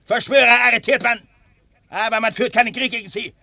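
A blustering old French colonel. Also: male voice, very high voice, steady tone, a little fast, creaky, bright, forceful tone, dry recording, full character voice. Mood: scornful. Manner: pompous, indignant, sprinkles French phrases. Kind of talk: radio play acting.

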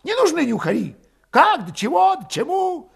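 Shrill, hot-headed young rebel. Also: male voice, huge pitch swings, moderate pace, creaky, darkish tone, heavily acted. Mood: bitter, scornful. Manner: ranting, snapping, sputtering dialect speech. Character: hot-headed scornful young revolutionary man, excitable and shrill. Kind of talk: audiobook character voice.

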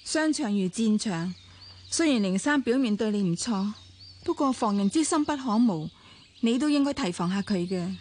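An actress with a Hong Kong accent playing a composed, perceptive young woman in a radio drama, speaking in a measured, probing way. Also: medium pitch, expressive, darkish tone, a little hiss or hum, natural voice. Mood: calm, probing. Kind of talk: Cantonese radio drama acting.

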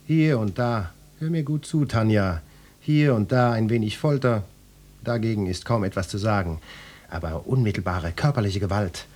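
An actor playing a self-important hard-boiled police detective in a satirical radio play, with a husky voice and a pompous, pedantic, portentous way of talking. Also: male voice, on the low side, moderate pace, darkish tone, a little hiss or hum, close to an everyday voice. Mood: grave, self-important. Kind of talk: German satirical radio drama acting.

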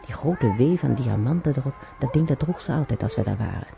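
An actor playing an elderly man who haltingly reminisces in a radio drama, searching his memory and muttering to himself. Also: medium pitch, expressive, vocal fry, over music. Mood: reminiscing. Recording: muffled old radio drama recording.

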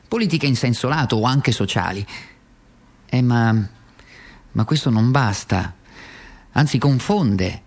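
An actor playing a bitterly sarcastic, worn-out communist wage earner in a theatrical, ironic political radio monologue. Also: male voice, mid-range voice. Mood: sardonic, deliberate. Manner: theatrical, ironic, swinging from deliberate to frantic.

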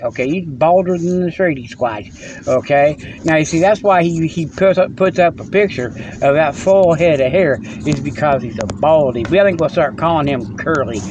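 A man's voice: on the high side, darkish tone, lo-fi sound, slight background noise. A man hosting a rambling comic monologue on a phone, chuckling often and full of fillers and restarts, playful and teasing. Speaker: host.

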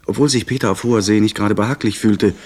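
An actor playing a young gentleman who recounts his sea adventure in measured, literary radio drama narration. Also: fairly low pitch, lightly acted. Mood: absorbed, suspenseful. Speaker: narrator and lead character. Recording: 1970s radio play, faint background noise.

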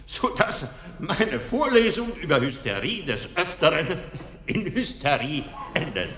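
A self-assured Viennese doctor lecturing in a German radio drama. His voice is bright and very animated, courteous and wryly emphatic, ringing through an echoey hall. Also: fairly high pitch, a little slow, full character voice. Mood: wry, formal. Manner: courteous, theatrical, emphatic lecturing with repeated phrases.

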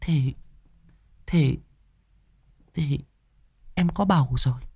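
A man's voice: fairly high pitch, takes it slowly, creaky, husky, dry recording, pitched above the performer's own voice, exaggerated voice. A man putting on a timid, faltering young wife. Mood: shy. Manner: faltering, stammering and soft. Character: timid young village wife, later dying.

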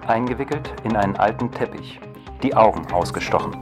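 A man's voice: lowish voice, animated, a little fast. An actor playing a sober male newsreader giving a scripted crime report in a radio drama. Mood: sober, factual. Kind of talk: scripted news reading. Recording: music behind the voice. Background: music.

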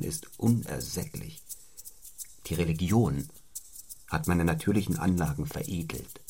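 A cold, driven clergyman from a radio drama, with a low, husky male voice. He speaks formally and deliberately, stern and rhetorical, as if every sentence were weighed. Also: a little mellow. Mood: austere, intense. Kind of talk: German radio play acting.

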